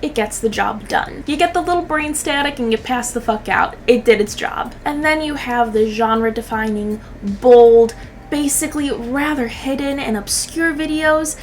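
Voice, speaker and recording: Young woman, high voice, sardonic chatty commentary, casual swearing, faint background noise. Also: normal speed. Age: 20s. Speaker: YouTube commentary host.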